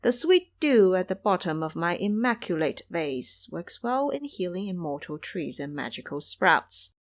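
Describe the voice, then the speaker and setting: A woman playing an authoritative female bodhisattva, composed and formal, admonishing in a measured way. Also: lowish voice, big pitch swings. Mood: composed, explaining. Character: serene, authoritative female bodhisattva, sternly maternal.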